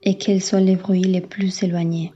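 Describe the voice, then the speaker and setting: A woman yoga instructor leading a guided relaxation in a calm, soothing, unhurried manner with long pauses. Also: fairly low pitch, muffled recording, a little hiss or hum. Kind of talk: guided meditation, yoga nidra.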